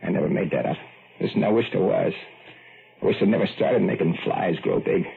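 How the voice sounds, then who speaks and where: An actor playing a folksy, halting, slightly eerie American small-town workman in an old-time radio drama. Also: deep voice, gravelly, slight background noise. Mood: grave, insistent. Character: eerie, boastful small-town plumber's hand raising monstrous fly. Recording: muffled, narrow-band vintage radio recording.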